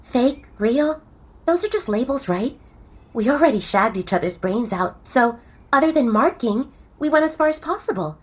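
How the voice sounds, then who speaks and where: Woman playing a lively, high-voiced young she-wolf model. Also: moderate pace, husky. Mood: urgent, businesslike. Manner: direct, persuasive. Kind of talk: dramatised audio romance.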